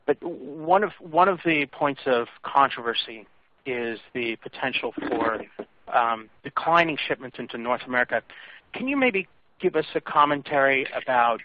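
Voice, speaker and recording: Courteous male analyst on dull call audio. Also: medium pitch. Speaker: financial analyst asking questions. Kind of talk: questions on an earnings call.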